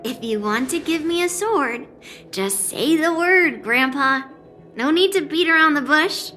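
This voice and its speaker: A brash young swordswoman from a game cutscene. The voice is high, bright and very lively, with a blunt, cocky, self-assured delivery. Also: unhurried, faint music behind, heavily acted. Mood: cheeky. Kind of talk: dubbed video game cutscene dialogue.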